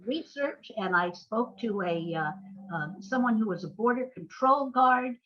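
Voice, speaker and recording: An older American woman speaking as an interview guest in a thoughtful, measured, articulate way. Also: a little high, lively intonation, fairly bright tone. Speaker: guest, playwright. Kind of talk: reflective interview conversation. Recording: dull, lo-fi sound.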